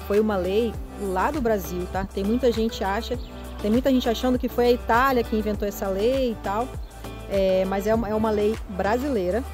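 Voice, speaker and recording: A Brazilian woman with a Brazilian Portuguese accent, hosting a casual vlog and explaining things step by step, often restarting her words. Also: mid-range voice. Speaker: vlogger.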